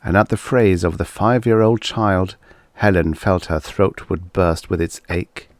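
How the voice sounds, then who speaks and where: A man narrating a vintage science fiction story as an audiobook reader, in a dramatic, expressive storytelling manner. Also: low-pitched, unhurried, no echo, studio quality.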